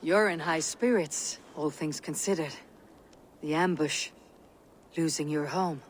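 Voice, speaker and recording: An actress playing a composed, commanding Viking warrior in a video game, speaking firmly. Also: female voice, sits low, measured pace, a little hiss or hum. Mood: steady. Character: steadfast, composed Viking warrior leader, Eivor. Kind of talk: video game cutscene acting.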